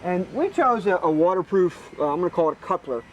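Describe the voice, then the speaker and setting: A man's voice: sits high, animated, fast, slight background noise, poor audio. A man giving casual, practical technical explanations outdoors as a hands-on expert. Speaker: boat rigger, expert guest. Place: outdoors on a boat.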